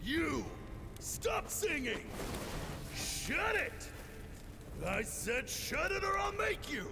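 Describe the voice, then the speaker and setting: An actor playing a cruel, sneering male thug in a game, gravelly and taunting before barking threats. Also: extremely high pitch, big pitch swings, extremely slow, big-room sound, over music, full character voice. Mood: menacing.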